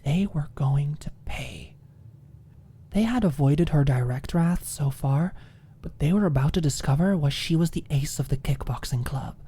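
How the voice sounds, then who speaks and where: A woman narrates a dramatic ASMR audiobook. Her voice is low and dark, with a breathy edge that sinks toward a whisper. She reads deliberately and with expression. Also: lively intonation.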